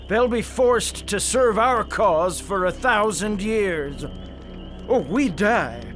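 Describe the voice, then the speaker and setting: An actor playing a fanatical, ruthless Confederate colonel, delivering grandiose oratory in a radio drama. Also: male voice, a little high, fairly bright tone. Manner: grandiose oratory, slow and fervent. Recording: music under the voice.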